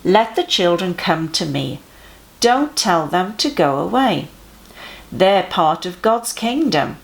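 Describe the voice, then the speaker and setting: A woman voicing a friendly, earnest male teacher in a children's storybook, emphatic and gently instructive. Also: lowish voice, sing-song, slow, creaky, full character voice, poor audio. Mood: warm insistence.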